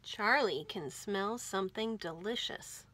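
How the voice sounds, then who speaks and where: A woman hosting storytime for young children, reading aloud in an animated, expressive way and speaking to them directly. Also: mid-range voice, measured pace, dry recording. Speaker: children's library storytime host.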